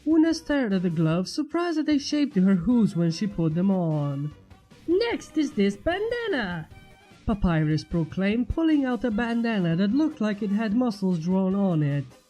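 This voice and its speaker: A woman's voice: medium pitch, expressive, normal speed, few pauses, warm tone, faint music behind. A woman reading fan fiction aloud as a scripted story narration.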